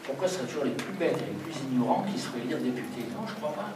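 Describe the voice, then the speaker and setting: A man's voice: medium pitch, big pitch swings, fast talker. A man leading a public talk, making his points emphatically and laying them out one by one. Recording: roomy hall, distant mic, noisy.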